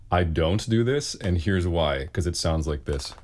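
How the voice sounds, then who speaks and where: A man speaks in a low, deep bass voice. He talks casually as a singer fielding questions, with a wry and self-deprecating sense of humor. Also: lively intonation, takes it slowly, darkish tone. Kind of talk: casual Q and A talk.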